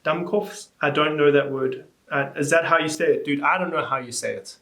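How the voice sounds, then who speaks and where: A young man reacts to viewer comments in a bright, animated, slightly disbelieving voice that climbs higher when he gets exasperated. His voice sits a bit above the usual male pitch, and it bounces around a roomy, echoing space. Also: a little fast, gravelly. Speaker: YouTuber. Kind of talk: casual reaction vlog, reading comments aloud. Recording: echoey room.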